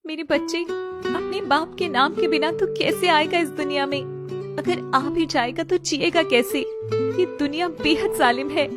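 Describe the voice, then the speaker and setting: Woman acting despairing young mother, high voice. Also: unhurried, over loud music. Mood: despairing, tearful.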